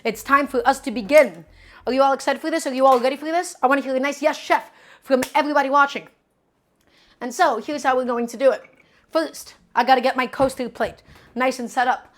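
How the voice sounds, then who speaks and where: A woman's voice: high-pitched, fairly quick. A young woman hosting a live cooking stream. She talks to her viewers in a chatty, upbeat way, often calling them "guys" and hyping them up. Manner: upbeat, hypes up the audience.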